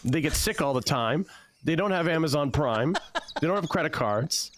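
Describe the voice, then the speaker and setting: A man guest on an interview show, hoarse from losing his voice, telling dry, deadpan jokes with lots of ums. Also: fairly high pitch, normal speed, a little hiss or hum. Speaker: guest, comedian and author. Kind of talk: casual interview chat. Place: home setup, standing.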